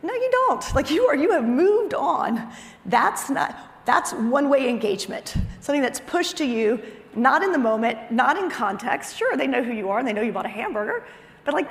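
A woman's voice: high-pitched, sing-song, moderate pace. A woman giving a business keynote, speaking emphatically with repeated phrases and conversational asides. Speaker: chief marketing officer giving a conference talk. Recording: reverberant hall sound.

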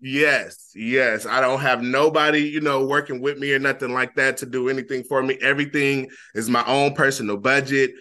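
A man's voice: mid-range voice, fairly even intonation, moderate pace, few pauses, bright, forceful tone. A young man from Flint, Michigan, a rapper talking casually as a guest in an interview, laid-back and full of fillers. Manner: laid-back, repeats phrases, many fillers.

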